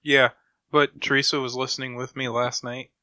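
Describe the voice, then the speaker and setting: A man's voice: mid-range voice, level tone, moderate pace, bright, forceful tone, no room sound, studio quality. A man co-hosting a podcast, chatting casually. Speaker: podcast co-host. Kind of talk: casual chat.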